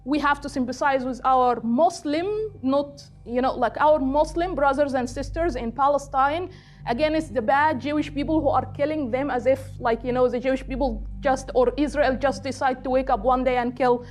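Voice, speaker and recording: High-pitched woman with an Egyptian accent. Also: fairly even intonation, moderate pace, a little hiss or hum. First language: Arabic. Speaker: political activist and guest speaker. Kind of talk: public talk on politics, speaking off the cuff.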